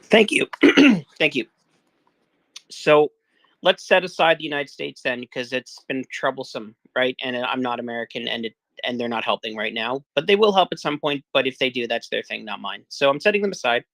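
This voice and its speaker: A man's voice: medium pitch, animated, normal speed, fairly bright tone, dry recording. A man from Canada on a live audio panel, talking casually and informally with jokes. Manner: joking, informal. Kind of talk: casual discussion.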